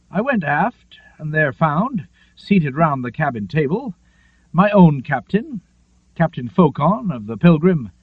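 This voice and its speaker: A man reading a literary seafaring memoir as an audiobook, in a measured, expressive storytelling manner. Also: a little high, dry recording. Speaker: audiobook narrator. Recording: narrow phone-like lo-fi sound.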